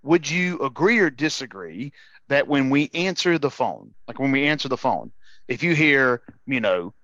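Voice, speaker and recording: A man with a mid-pitched voice hosts a casual podcast interview. He is agreeable and tends to echo what he has heard before adding to it. The sound is dry and close but somewhat dull. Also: sing-song, normal speed, sharp tone. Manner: agreeable, echoes the guest's words. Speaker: podcast host.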